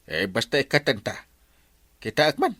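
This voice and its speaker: Brief: a loud, boastful Ilocano barangay captain, bright voice, pitch leaps all over, dry close mic. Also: male voice, huge pitch swings, no echo, full character voice. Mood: bossy. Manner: blustering, bossy, quick to laugh. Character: boastful middle-aged male barangay captain. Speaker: village captain. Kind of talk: radio comedy drama acting.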